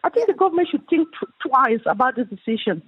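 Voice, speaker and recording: A woman's voice: sits high, sing-song, fast talker, breathy edge. A woman from Nigeria with a Nigerian accent, calling in and speaking passionately, sounding indignant. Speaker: caller. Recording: phone line into radio.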